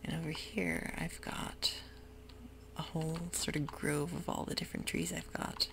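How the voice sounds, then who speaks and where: A woman giving soft-spoken, whispery commentary while she plays a computer game, with frequent ums. Keyboard typing can be heard close to the mic. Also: low-pitched, little pitch movement, moderate pace, vocal fry. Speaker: ASMR gaming creator. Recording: noisy home recording.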